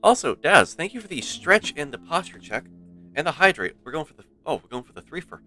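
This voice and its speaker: A man's voice: on the high side, sing-song, brisk, sharp tone. A man chatting casually on a game livestream, rambling and playful. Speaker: variety game streamer. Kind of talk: casual livestream chat and commentary. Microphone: close streaming microphone. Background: faint game music.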